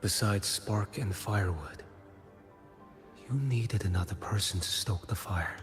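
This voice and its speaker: An actor playing a blind, watchful bodyguard in a video game, speaking in a measured, cryptic and formal way. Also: male voice, on the low side, natural voice. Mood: solemn, probing. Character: blind, solemn watchful male bodyguard and investigator. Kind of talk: scripted video game dialogue. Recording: long reverb, music underneath. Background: game music.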